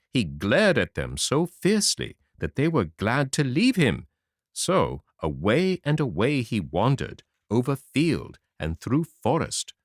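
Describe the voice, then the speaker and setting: A man narrating a fairy tale audiobook in a dramatic, expressive reading. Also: mid-range voice, no room sound. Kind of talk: scripted storybook narration. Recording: dry close audiobook mic.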